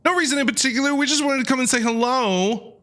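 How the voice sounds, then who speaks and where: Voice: high-pitched, fast, fairly bright tone, a little hiss or hum. A game streamer reacting to gameplay with enthusiastic, playful, casual and slangy commentary. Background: game music.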